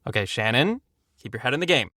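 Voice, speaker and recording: An actor playing a middle-aged husband and dry-witted, teasing co-conspirator in a comedic audio drama. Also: male voice, medium pitch, quick pace, dry recording. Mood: conspiratorial. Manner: animated, dryly teasing.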